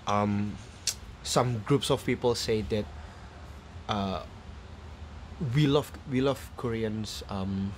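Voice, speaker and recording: A man hosting a podcast interview, soft-spoken and halting, with many murmured acknowledgements. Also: lowish voice, a little hiss or hum. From Indonesia. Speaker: podcast host. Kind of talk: casual interview chat.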